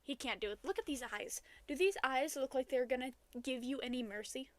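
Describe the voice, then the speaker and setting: A woman's voice: high voice, a little fast. A woman co-hosting a podcast in casual banter, with a playful, mock-dramatic way of talking. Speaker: podcast co-host.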